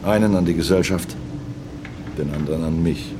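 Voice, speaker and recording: A man's voice: sits low, normal speed, background noise, close to an everyday voice. An actor playing an older merchant seaman in a radio play, ruminating and reminiscing in grief over his lost captain. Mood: mournful reminiscing. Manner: ruminative, reminiscing, repeats himself. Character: brooding old merchant seaman, loyal, grieving his captain. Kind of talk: radio play monologue, storytelling.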